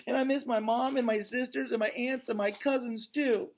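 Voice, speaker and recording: Man voicing a high-pitched, plaintive baby girl elephant. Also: fairly even intonation, moderate pace. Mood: sad, tearful. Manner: plaintive, childlike pleading. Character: sad young female baby elephant, pleading and innocent.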